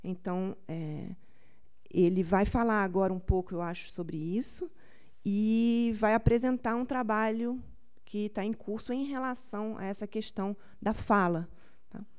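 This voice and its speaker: A woman researcher introducing a speaker at an academic panel, measured and filling pauses with short hesitations. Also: medium pitch, steady tone, darkish tone, clean tone. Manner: measured, with frequent fillers.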